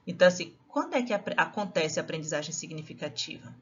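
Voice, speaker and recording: A woman's voice: low-pitched, animated, fast talker, a bit bright. Bahian woman teacher, chatty lecturing style with constant tag questions; muffled, lo-fi recording. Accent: Bahian Brazilian Portuguese. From Salvador, Bahia, Brazil. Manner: conversational, frequent tag questions and restarts.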